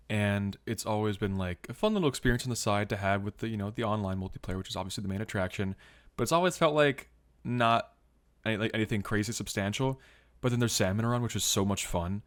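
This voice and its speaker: A man's voice: lowish voice, fairly quick. A man talking casually as a podcast co-host. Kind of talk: casual chat.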